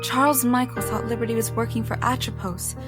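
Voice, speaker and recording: Young female lead for mystery audio drama woman acting, higher voice, earnest resolve, music bed. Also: steady tone, a little fast, lightly acted. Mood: grave, reflective. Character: earnest, determined young woman infiltrating a secret society. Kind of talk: audio drama acting and first-person narration.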